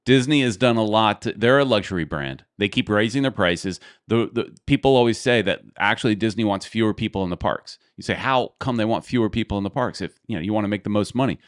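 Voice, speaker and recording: A man's voice: fairly low pitch, fast, hardly any pauses, no room sound, clean studio sound. A man hosting a podcast, chatting casually and rambling as he thinks aloud. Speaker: podcast host. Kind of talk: casual chat.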